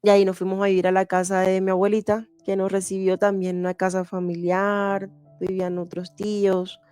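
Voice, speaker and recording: Woman from Venezuela, smooth clear voice, flat even tone, slow, dry close sound. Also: mid-range voice, no room sound. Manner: slow, even delivery with soft laughs and fillers. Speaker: interview guest sharing testimony. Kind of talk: candid personal testimony.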